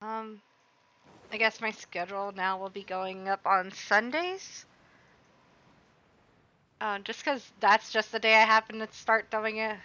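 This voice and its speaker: Bright-voiced woman streamer chatting. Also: medium pitch, fairly even intonation, unhurried, pauses often, lo-fi sound. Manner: rambling, talks back to the game as she plays. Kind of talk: casual chat while gaming.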